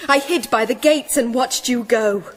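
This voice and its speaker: An actress playing a headstrong, grieving young noblewoman in a radio drama, declaiming deliberately and fiercely. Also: fairly high pitch. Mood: bitter accusation. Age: young adult.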